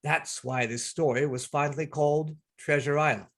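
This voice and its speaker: A man's voice: a little high, steady tone, moderate pace, fairly bright tone. A man acting as director and announcer, introducing and narrating a radio play reading over a video call. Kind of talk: spoken introduction and scripted narration. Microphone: video call microphone.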